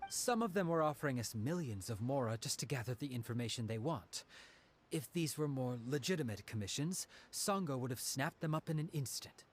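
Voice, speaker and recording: A man's voice: medium pitch, lively intonation, a little fast, natural voice. An actor playing a friendly, chatty male detective agency worker in a video game, talking eagerly.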